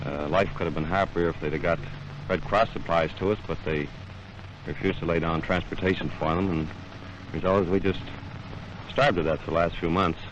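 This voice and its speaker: Low-voiced man, noisy old recording. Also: steady tone, a little fast. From Canada. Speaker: war correspondent, freed prisoner of war. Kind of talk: filmed interview answers. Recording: noisy, muffled 1940s field newsreel recording.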